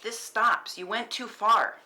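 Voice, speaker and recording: A woman's voice: on the high side, fairly even intonation, slow pace, pushed and bright, exaggerated voice. A woman reading the part of a tough, guilt-ridden woman in a naturalistic script table read. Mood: angry, firm.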